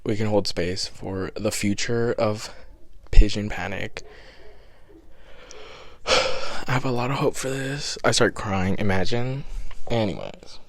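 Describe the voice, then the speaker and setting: A young man in his twenties with a raspy voice, hosting a casual solo podcast and chatting in a rambling, self-deprecating way, a little out of breath. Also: fairly low pitch, expressive, takes it slowly, pauses often, a bit bright. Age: 20s. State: slightly out of breath. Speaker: podcast host. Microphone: podcast microphone.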